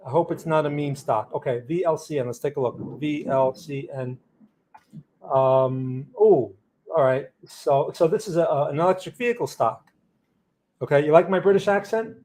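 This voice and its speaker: A man's voice: a little high, darkish tone. Man with a New Jersey accent and a slightly raised voice, live-streaming chart analysis, opinionated and loose. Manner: blunt, opinionated, rambling with restarts. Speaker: trading livestream host. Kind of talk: live market analysis, answering chat.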